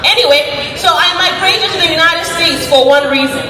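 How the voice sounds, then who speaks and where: A woman from Tanzania, giving an energetic stage speech and calling out to the audience at a business convention. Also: moderate pace. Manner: high-energy, shouting out to the audience, calls for crowd response. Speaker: motivational speaker at a business convention. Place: large convention hall. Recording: echoey hall with a loud crowd.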